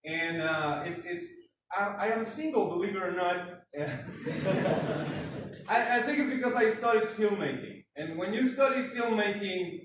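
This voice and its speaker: A man's voice: sits high, a little slow. A young Mexican man with a Mexican Spanish accent, doing a self-deprecating stand-up comedy set and acting out scenes. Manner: self-deprecating, acts out scenes in put-on voices. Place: large room with live audience laughter. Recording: echoey hall from far off, room noise.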